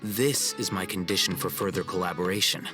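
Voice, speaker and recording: A man voicing a cool, haughty young scholar in a video game, formal and measured. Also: low voice, natural voice. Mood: aloof, businesslike. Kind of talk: video game cutscene acting. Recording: music behind the voice.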